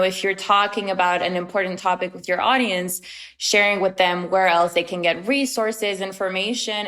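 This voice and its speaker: A woman's voice: medium pitch, fairly bright tone. A young woman from Romania, a podcast marketer, answering interview questions in a casual, earnest way with some hesitant fillers.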